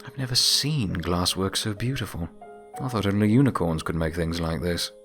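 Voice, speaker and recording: A man's voice: low-pitched, moderate pace, fairly bright tone, soft background music. A man voicing a skeptical young male pony who teases his friend in a wry, doubtful way in an audio story. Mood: impressed. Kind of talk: audio story character dialogue.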